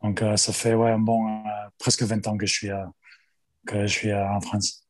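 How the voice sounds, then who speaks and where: A man's voice: fairly low pitch, little pitch movement, normal speed. A man in his forties with an Australian accent, a strength coach who speaks French hesitantly as a podcast guest, with many fillers and restarts. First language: English. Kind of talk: interview guest.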